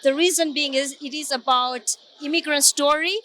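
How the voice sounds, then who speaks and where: Woman, from sri lanka, sincere producer, high bright tone. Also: fairly even intonation, normal speed. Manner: earnest, grateful. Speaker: cultural consultant and executive producer. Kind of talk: event interview answer.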